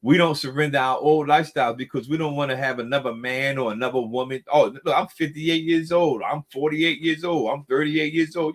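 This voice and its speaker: Middle-aged guy running a recovery group, preachy, says y'all, repeats himself to drive points home. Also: on the high side. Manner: preachy, repeats phrases for emphasis; addresses listeners as y'all. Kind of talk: motivational talk.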